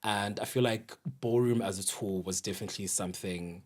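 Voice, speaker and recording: A man's voice: on the low side, fairly quick. A man with a South African accent talking reflectively as a guest in a casual podcast interview. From Johannesburg, South Africa. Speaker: guest, DJ and ballroom event curator.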